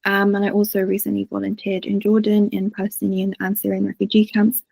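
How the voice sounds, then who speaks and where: Brief: polite, level-toned panelist, husky and dark, dry video-call audio. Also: female voice, mid-range voice, brisk, no room sound. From Iraq, raised in the UK. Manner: polite, steady self-introduction. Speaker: hospital worker, panelist.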